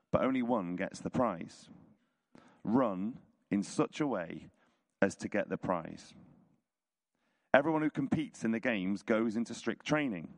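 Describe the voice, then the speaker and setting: A man with a hoarse, croaky voice reads a scripture chapter aloud at a church service, in a measured, rhetorical way. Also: fairly low pitch. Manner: casual intro, then measured rhetorical reading. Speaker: church leader reading scripture. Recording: reverberant hall.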